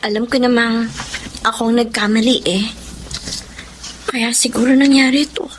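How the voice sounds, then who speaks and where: An actress playing a heartbroken, remorseful young factory worker in a Filipino radio drama, pleading in a faltering, emotional voice. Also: female voice, a little high, fairly even intonation, moderate pace, a little hiss or hum, lightly acted. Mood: subdued, remorseful.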